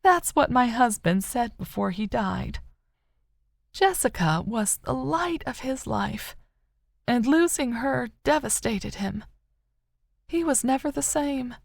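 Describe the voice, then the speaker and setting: A woman narrator voicing an older mother, grieving and wistful yet still hopeful, with a soft, sorrowful, tender manner in an audiobook. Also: fairly high pitch, expressive, slow, gravelly, no room sound, studio quality, pitched above the performer's own voice, full character voice. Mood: sorrowful, resolute.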